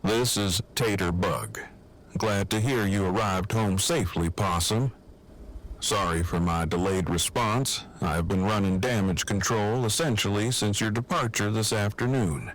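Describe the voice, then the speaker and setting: A man acts out an earnest survivor in an audio drama. His voice sits fairly low, and he speaks in stiff, wordy, precisely worded sentences through a walkie-talkie radio. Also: unhurried, a bit bright, rough recording. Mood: grave, matter-of-fact. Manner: stiff, verbose, precise diction. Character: formal, long-winded, earnest male survivor. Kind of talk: fan audio drama acting.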